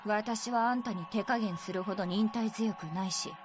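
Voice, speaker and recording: A woman's voice: medium pitch, fast talker, natural voice. A woman playing a cold, contemptuous, impatient captor in a visual novel game, speaking in a threatening way. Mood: cold warning. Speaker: game character voice actor. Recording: game audio with music behind.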